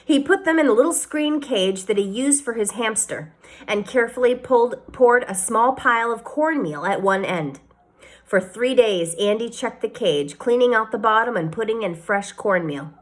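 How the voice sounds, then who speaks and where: Expressive woman reading a children's novel. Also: on the high side, moderate pace. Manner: expressive storytelling, varied emphasis. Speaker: teacher reading aloud.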